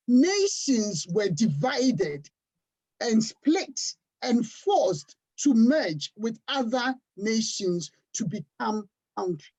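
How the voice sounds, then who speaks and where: Earnest woman from Africa, mellow voice. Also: on the high side, breathy edge. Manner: earnest, deliberate, emphatic. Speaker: panelist. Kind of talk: panel discussion talk. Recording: dull lo-fi livestream audio.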